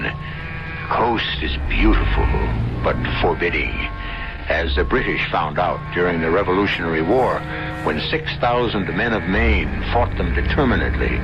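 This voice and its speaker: Deep-voiced spooky radio host guy, theatrical, loud music behind, dull lo-fi sound. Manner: theatrical, measured, rhetorical. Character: ominous male host of ghost stories. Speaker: host narrator. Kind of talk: scripted old-time radio narration.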